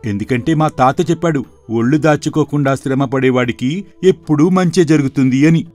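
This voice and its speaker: A man playing a humble, hardworking farmhand, mild and deferential, in an animated moral story. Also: mid-range voice, normal speed, faint music behind, close to an everyday voice. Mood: mild, earnest.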